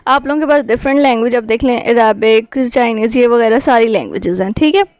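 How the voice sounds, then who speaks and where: A woman's voice: a little high, brisk, darkish tone, no echo, lo-fi sound. A woman, an Urdu speaker, narrating a casual app tutorial as a YouTube host.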